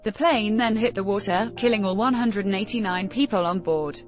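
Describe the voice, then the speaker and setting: Female news narrator for scripted article read, medium pitch, background music. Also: normal speed.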